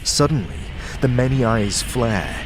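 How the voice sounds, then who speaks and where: A man narrating a fantasy audio drama in a measured, theatrical way. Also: mid-range voice, lively intonation, noisy background. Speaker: narrator and game master. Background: music.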